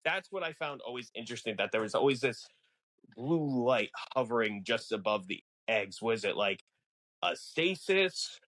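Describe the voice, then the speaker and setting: Musing podcast co-host with lively, swooping pitch. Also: normal speed, slight background noise, low-quality recording. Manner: musing, poses questions aloud. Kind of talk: casual fan discussion.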